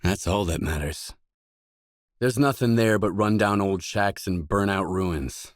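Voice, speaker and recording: A man acting as a gruff video game stalker with a low voice and an unhurried pace. He is guarded and self-assured, keeping his lines terse and dry. The sound is close and dry, with no room around it. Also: dry recording, close to an everyday voice. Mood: dry, defiant. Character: gruff, guarded male stalker, lone survivor for hire.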